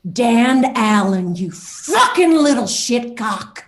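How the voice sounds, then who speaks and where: Actress as a threatening, scolding horror-game mother, loud and slow, foul-mouthed, roomy echo. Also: on the high side, lively intonation. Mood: menacing scolding.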